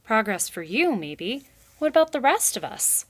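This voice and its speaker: A woman's voice: a little high, very animated, normal speed, voice pushed up. A woman voicing a hot-headed, nervous young male mouse in a storybook, snappish and defiant. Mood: indignant accusation. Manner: sharp, snappish, indignant.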